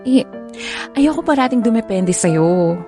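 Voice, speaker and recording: Mataas ang boses na babaeng gumaganap na malambing na nobyang Pilipina. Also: female voice, on the high side, expressive, a little slow. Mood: firm, caring. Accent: Filipino. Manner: teasing, firm but fond. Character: affectionate, independent young live-in girlfriend. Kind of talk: conversational audio drama acting. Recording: background music under dialogue.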